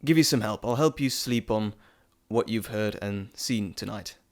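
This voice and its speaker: A man voicing a playful, fond male messenger god in an intimate audio roleplay, chatty and teasing with theatrical pauses. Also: fairly low pitch, close to an everyday voice.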